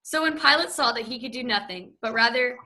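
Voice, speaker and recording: Bright-voiced woman reading scripture. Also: a little high, fairly quick. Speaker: scripture reader. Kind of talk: church scripture narration.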